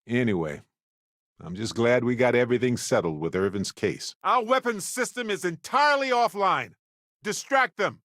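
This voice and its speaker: A man voicing an affable, wry space explorer companion in a video game, speaking in an expressive, conversational way. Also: medium pitch, no room sound, clean studio sound. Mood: casual, then urgent, upset.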